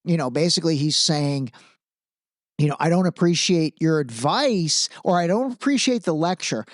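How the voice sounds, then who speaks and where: A man's voice: a little high, animated, normal speed, dry recording, studio quality. A man hosting a podcast, giving casual, opinionated commentary in an informal, emphatic way, repeating phrases for emphasis. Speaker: podcast host.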